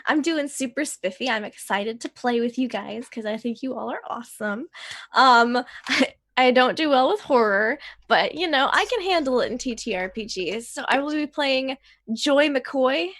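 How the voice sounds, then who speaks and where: A woman introduces herself as a player in a tabletop game. Her voice is very high and recorded dry. She talks casually, with a perky, self-deprecating way about her. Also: expressive, moderate pace, fairly bright tone, dry recording. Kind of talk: casual chat.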